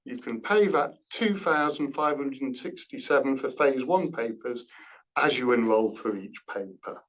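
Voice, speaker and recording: A man's voice: mid-range voice, a little slow, low-quality recording. A man presenting a university programme on a webinar over a video call. He explains the fees plainly and uses a few fillers.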